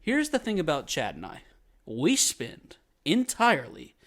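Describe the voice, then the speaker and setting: Lively, giggly young man co-hosting. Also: fairly high pitch, a little slow. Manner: laughs often, swearing, rambling. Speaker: podcast co-host. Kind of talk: casual comedic banter.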